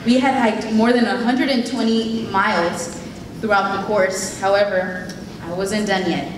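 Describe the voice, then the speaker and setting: A woman's voice: medium pitch, fairly even intonation, measured pace, background noise. A young woman whose first language is Spanish, giving a heartfelt prepared keynote speech at an event. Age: late teens. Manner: earnest, heartfelt, reading from notes. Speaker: keynote speaker. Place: event hall. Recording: echoey hall recorded from a distance.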